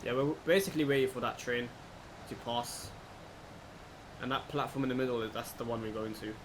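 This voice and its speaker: A man giving casual, low-key let's play commentary, thinking aloud as he goes. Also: fairly quick. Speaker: gaming video host. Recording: clear background noise, steady hum.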